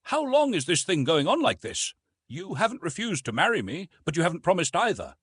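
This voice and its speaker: A man's voice: on the high side, wildly swooping pitch, brisk, a bit bright, husky, no echo, pitched above the performer's own voice, exaggerated voice. A man voicing a cynical, blunt businessman suitor with a condescending, domineering manner in an audiobook. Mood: blunt, pressing.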